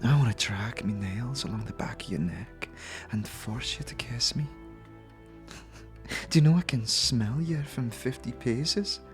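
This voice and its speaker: A man's voice: mid-range voice, a little slow, faint music behind, natural voice. Man voicing a teasing British tiefling girl, slangy. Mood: teasing, bold.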